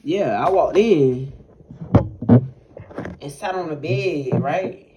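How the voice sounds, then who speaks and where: A man's voice: fairly high pitch, takes it slowly, highs cut off. Rambling young man telling a wild story. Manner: rambling, animated, profane, many fillers. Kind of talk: casual storytelling testimony. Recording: noisy recording with clicks.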